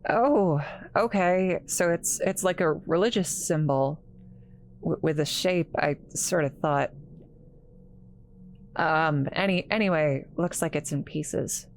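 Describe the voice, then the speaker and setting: A woman playing an awkward, kind scientist in a roleplay audio drama, halting and apologetic, stammering as she talks to the listener. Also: sits low, slight background noise. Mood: apologetic, flustered.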